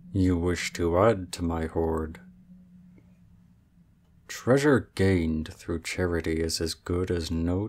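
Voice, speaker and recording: A man's voice: deep voice, a little mellow, slight background noise. A man playing a proud, aloof male dragon in human form, speaking formally and with cool reserve in an ASMR roleplay.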